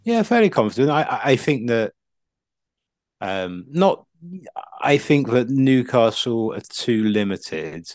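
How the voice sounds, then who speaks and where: A man's voice: medium pitch, very animated, takes it slowly, no echo, studio quality. A man co-hosting a podcast, giving casual, discursive football punditry full of asides.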